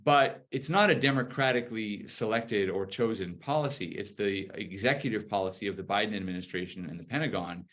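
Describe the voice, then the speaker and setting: Low-voiced man explaining politics. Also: fairly quick, few pauses, fairly bright tone. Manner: articulate, explanatory, arguing point by point. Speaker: political commentator, guest speaker. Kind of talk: conversational political commentary.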